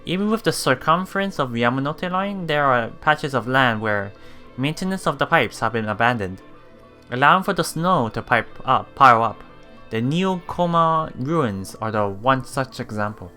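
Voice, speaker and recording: A man doing a let's play, reading visual novel text aloud haltingly, with stumbles, self-corrections and casual asides. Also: mid-range voice, animated, pushed and bright, faint music behind.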